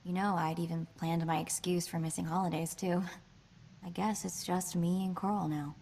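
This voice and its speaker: A woman voicing a quick-witted, sardonic young female hacker in a space video game, chatty in manner. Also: fairly low pitch, flat delivery, creaky, darkish tone. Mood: wry, mischievous.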